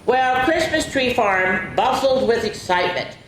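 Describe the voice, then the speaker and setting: A woman's voice: a little high, expressive. A woman hosting a storytime, reading picture books aloud for young children and adding chatty asides. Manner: slow, deliberate delivery with chatty asides to listeners. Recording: echoey room with faint background noise.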